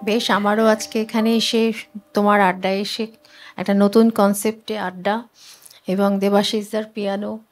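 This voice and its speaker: A woman, a guest singer chatting casually in a studio in halting, trailing phrases. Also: medium pitch, flat delivery, soft background music. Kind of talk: casual chat. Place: music studio.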